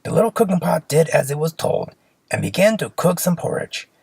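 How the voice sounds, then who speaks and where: An expressive storyteller reads a simple fairy tale for children. The voice is husky and creaky, moving up and down a great deal in a dry, close space. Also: medium pitch, brisk, no room sound.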